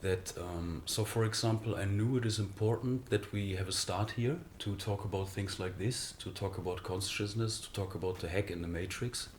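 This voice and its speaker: A man from Germany with a German accent, speaking English as a second language as an interview guest, halting with frequent pauses and fillers. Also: on the low side, a little hiss or hum. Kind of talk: conversational interview.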